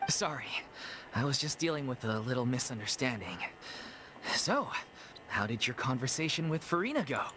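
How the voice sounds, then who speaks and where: Man playing an earnest, animated troupe leader. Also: mid-range voice, moderate pace, background noise, close to an everyday voice. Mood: polite, relieved. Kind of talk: video game voice acting. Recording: music behind the voice.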